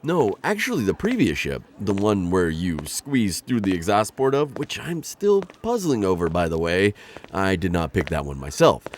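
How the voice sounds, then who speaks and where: A man's voice: sits low, sing-song, normal speed, slight background noise. A man playing an awkward male insectoid bounty hunter in an ASMR roleplay, talking in a formal, flustered, rambling way. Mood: earnest. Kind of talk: ASMR roleplay audio drama.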